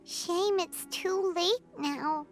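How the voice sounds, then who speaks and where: Tiny fairy girl, extremely high cute voice, slow earnest delivery, game dialogue over music. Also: exaggerated voice. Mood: regretful. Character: tiny childlike floating fairy companion girl, sweet, curious. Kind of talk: video game voice acting.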